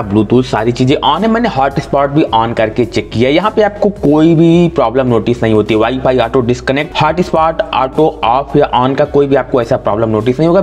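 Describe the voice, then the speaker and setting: A man's voice: medium pitch, darkish tone. An Indian man presenting a gadget review voice-over as a tech host, mixing in English tech terms. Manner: habitually mixes English tech terms into speech.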